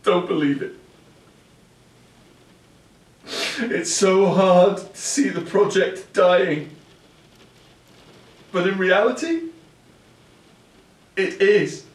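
An actor playing an earnest, halting brother who confesses and pleads with his sisters in an audio play. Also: high voice, lively intonation, recorded from a distance. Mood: despairing, upset. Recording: roomy, off-mic, faint background noise.

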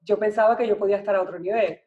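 Podcast guest: middle-aged Puerto Rican woman, mid pitch, chatty storyteller sprinkling in English business words, slightly muffled audio. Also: fairly even intonation, racing. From Puerto Rico. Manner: chatty, peppers speech with English business terms. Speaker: podcast guest, real estate and banking professional. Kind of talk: conversational personal storytelling.

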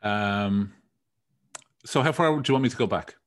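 Very deep-voiced Dublin man around forty. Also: normal speed. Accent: Dublin Irish. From Dublin, Ireland. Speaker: entrepreneur podcast guest. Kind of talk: casual autobiographical interview talk.

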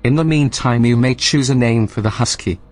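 An actor playing a literal, unflappable station computer assistant with a formal, deadpan manner in a science-fiction audio drama. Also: male voice, medium pitch, moderate pace, faint music behind, natural voice. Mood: deadpan, matter-of-fact.

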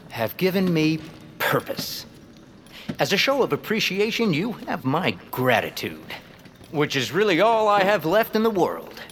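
A man's voice: on the high side, a bit bright, slight background noise. A man playing a pompous, upbeat feathered radio personality in a family audio comedy, theatrical and wordy. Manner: theatrical, wordy, self-important.

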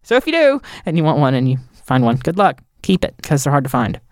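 A man's voice: on the high side, big pitch swings, fast, darkish tone, no echo, clean studio sound. A man chatting casually as a podcast panelist, explaining things informally. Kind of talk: casual gear talk.

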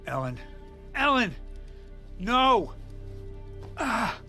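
A frail, ailing old president in an audio drama. He speaks very slowly and haltingly in a tense voice that lurches in pitch, confused and quick to anger. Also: sing-song, pushed and bright, heavily acted. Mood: distressed. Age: older. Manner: halting, slow, parroting phrases. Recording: music under the voice.

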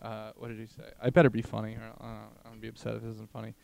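A man's voice: on the low side, completely flat delivery, racing, gravelly, darkish tone. A young man hosting a comedy podcast, chatting casually with crude, slangy banter. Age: young adult. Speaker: podcast host.

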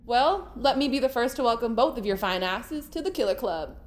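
An actress playing a brash, upbeat, warm-hearted young woman in an audio drama. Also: a little high, lively intonation, fairly quick, lightly acted.

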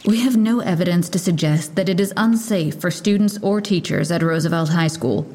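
An actress playing a brisk, sardonic police lieutenant giving a guarded press briefing in an audio drama. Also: female voice, on the low side, a little mellow, close to an everyday voice. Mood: measured, official.

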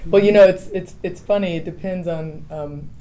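An American woman talking casually as a guest in an interview, expressive as she tells things and voices other people's words. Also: on the low side, moderate pace, low-quality recording. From United States (Texas). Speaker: interviewed guest, dancer and choreographer.

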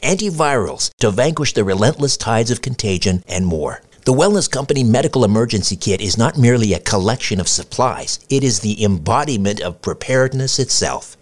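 A man's voice: mid-range voice, animated, a little fast, hardly any pauses. A man hosting a talk podcast, delivering scripted narration and sponsor reads. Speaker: podcast and radio host.

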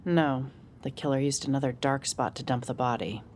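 Exasperated, protective sister, low voice. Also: female voice, moderate pace, darkish tone, slight background noise. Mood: firm concern. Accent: American. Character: exasperated, protective, no-nonsense sister working in law enforcement. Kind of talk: naturalistic audio drama acting.

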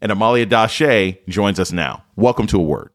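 Very deep-voiced male radio host. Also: expressive, normal speed, a little hiss or hum. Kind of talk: scripted introduction and interview questions.

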